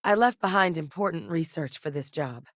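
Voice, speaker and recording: A composed middle-aged woman with a low-pitched voice, recorded very dry. She is an earnest scientist and war veteran in a space video game, articulate and measured in her delivery. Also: animated, dry recording. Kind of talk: scripted video game dialogue.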